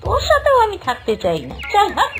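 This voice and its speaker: A quarrelsome young cartoon bride, put on by a woman, pitched very high and shrill. She sounds like a defiant younger daughter-in-law, with clear music behind her. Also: huge pitch swings, a little fast, full character voice. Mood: indignant, defiant. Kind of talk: Bengali moral-story cartoon voice acting.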